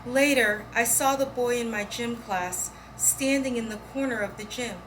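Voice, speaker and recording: A woman's voice: a little high, normal speed. A woman reading poems aloud as a poetry reader. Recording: clear background noise, room ambience.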